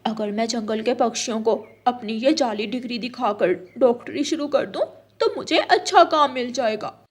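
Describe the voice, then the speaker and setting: A woman's voice: on the high side, moderate pace, natural voice. A woman voicing a sly, self-satisfied female crow who poses as a doctor in a cartoon. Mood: scheming.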